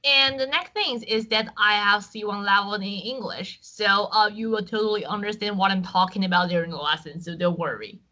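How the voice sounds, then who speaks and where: A woman from Taiwan, a native Chinese speaker with a Taiwanese accent, teaching an online Chinese lesson in clear, patient English with frequent fillers. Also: medium pitch, normal speed, few pauses, bright, forceful tone. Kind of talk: online language lesson with screen share.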